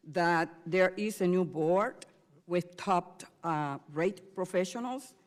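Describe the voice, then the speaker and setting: A woman from Puerto Rico, a member of Congress, questioning a governor at a hearing in a measured way with frequent fillers. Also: lowish voice, a little mellow. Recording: hall reverb.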